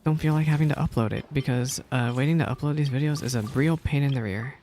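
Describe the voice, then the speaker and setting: A man's voice: mid-range voice, quick pace. A man streaming a game and giving casual, rambling reaction commentary. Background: soft video game music.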